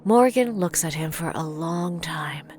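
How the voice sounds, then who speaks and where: A woman's voice: low-pitched, expressive, unhurried. A woman narrating an audio drama in composed, scripted present-tense storytelling.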